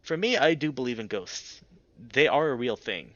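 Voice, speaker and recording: A man narrating a visual novel aloud as a let's player, dramatic and expressive, swearing freely in casual reactions. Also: medium pitch, moderate pace, dry recording, highs cut off. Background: music.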